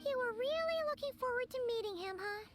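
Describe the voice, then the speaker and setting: A woman voicing a tiny, excitable childlike fairy companion in a video game, squeaky and perky. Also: fast talker. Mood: cheerful. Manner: perky, refers to herself in third person. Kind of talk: video game character acting. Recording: loud game music behind. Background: playful game music.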